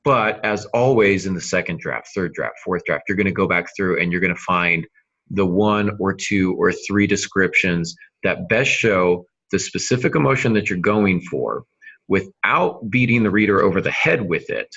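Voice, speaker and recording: Male podcast host, very low voice, explanatory and measured, slightly dull lo-fi sound. Conversational mentor delivery. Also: expressive, gravelly, a bit bright, breathy edge. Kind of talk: conversational writing-advice podcast.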